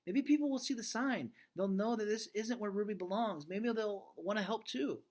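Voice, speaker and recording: Male reader as young girl, high-pitched, eager, headstrong, pleading, storybook character. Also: moderate pace, a little mellow.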